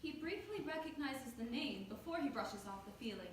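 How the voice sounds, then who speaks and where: A woman's voice: high-pitched, animated, brisk. A woman narrating scripted stage directions at a play reading. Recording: very echoey room, distant mic, noisy.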